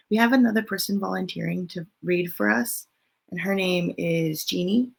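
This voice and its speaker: A woman hosting a children's story time, reading aloud and chatting informally, often thinking out loud as she goes. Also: lowish voice, gravelly. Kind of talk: reading a picture book aloud, then casual chat to children.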